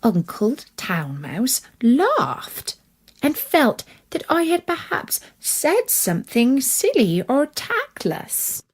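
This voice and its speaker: A woman storyteller reading a scripted children's fairytale in the first person, in an expressive, deliberate manner. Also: high-pitched, husky. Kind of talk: scripted fairytale narration in character.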